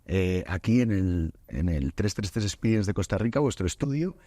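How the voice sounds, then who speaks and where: A man from Spain hosts a podcast conversation, his voice low and soft-edged. He speaks in a relaxed, halting way, full of fillers and restarts. The studio sound is dry and close. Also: no room sound. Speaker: podcast host. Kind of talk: casual interview chat.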